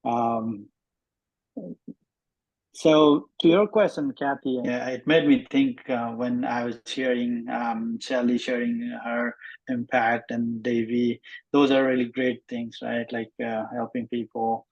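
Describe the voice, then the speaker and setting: A man in his thirties from Nepal talks through headphones on a video call. He keeps his pitch steady and flat and his tone warm and mellow. He hesitates a lot, filling gaps with ums and restarting his sentences. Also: slow, lo-fi sound. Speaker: networking meeting participant. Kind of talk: casual introduction on a video call.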